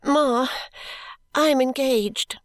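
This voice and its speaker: A woman's voice: measured pace, darkish tone, dry recording. A woman reader voicing a tearful young woman who sobs and pleads with her mother for consent to marry. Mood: tearful pleading. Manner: pleading, breathless, high and tearful. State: sobbing.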